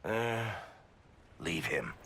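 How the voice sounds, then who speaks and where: A man's voice: deep voice, sing-song, extremely slow, sharp tone, slightly rough, full character voice. A man voicing a roguish, eloquent dwarf in a video game, with a theatrical, wry and affectionate delivery. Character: roguish, eloquent male dwarf giving a teasing toast.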